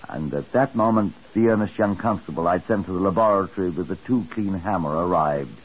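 Deep, husky police inspector. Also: male voice. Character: shrewd, courteous Scotland Yard chief inspector telling his case. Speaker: police detective and narrator. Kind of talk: 1950s radio crime drama acting. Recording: muffled 1950s radio broadcast.

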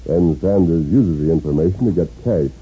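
An actor playing a steadfast masked western hero, with a deep husky American voice, in a 1950s radio drama. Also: male voice, brisk, mellow, slight background noise, natural voice. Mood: earnest, purposeful. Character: steadfast masked western hero, grown man, earnest and commanding. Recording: dull 1950s radio recording.

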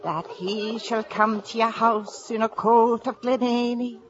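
A woman's voice: a little high, little pitch movement, unhurried, lo-fi sound, slight background noise, lightly acted. An actress playing an old Irish village wise woman, portentous and sing-song, in a 1950s radio drama. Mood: ominous, mysterious.

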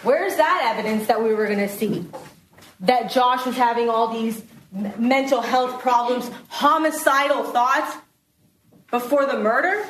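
A woman's voice: a little high, a little slow, a bit bright, distant mic. A woman prosecutor giving a closing argument in court, putting rhetorical questions to the jury. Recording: roomy courtroom with faint noise.

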